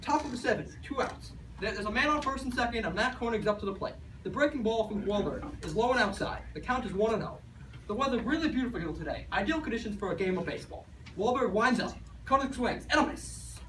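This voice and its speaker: A man plays an earnest 1920s radio announcer with a high, bright voice. He rattles through news and sports commentary quickly, tripping on words here and there. The amateur recording has a clear echo. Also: expressive. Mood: excited. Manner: brisk, stumbling over lines. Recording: echoey, faintly noisy recording from far off.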